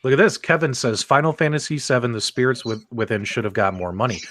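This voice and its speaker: A man hosting a casual panel show, excitable and chatty as he addresses his co-hosts and audience. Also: mid-range voice, quick pace. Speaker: host of a video game podcast.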